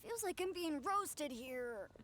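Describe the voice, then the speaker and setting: A woman's voice: high-pitched, expressive, slow, a bit bright, slight background noise, exaggerated voice. A woman voicing an eager young festival-goer in an anime game.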